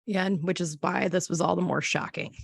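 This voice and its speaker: A woman's voice: lowish voice, fairly even intonation, a little fast, creaky, husky, no echo. A woman interviewing someone on a podcast in a casual, conversational style.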